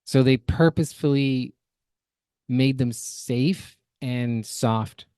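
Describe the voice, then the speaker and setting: A man's voice: mid-range voice, slow, dark tone, smooth, no echo, studio quality. A man hosting a podcast with casual, critical and opinionated reaction commentary. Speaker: podcast host.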